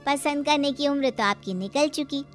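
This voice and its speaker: A woman's voice: high voice, moderate pace, faint music behind, natural voice. A woman playing a taunting, meddling young sister-in-law with a needling, pointed manner in a cartoon story. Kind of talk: Hindi cartoon story acting.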